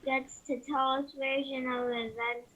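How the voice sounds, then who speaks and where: A woman's voice: sits high, little pitch movement, measured pace, fairly bright tone, highs cut off. A woman reading the part of an objecting adult in readers theater. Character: adult objecting that rules be followed.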